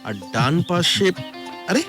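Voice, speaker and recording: An actor playing a curious, easily impressed man in an audio drama, animated and exclaiming with surprised questions. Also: sits high, takes it slowly, over music, heavily acted. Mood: amazed. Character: curious, easily impressed man visiting a remote island house.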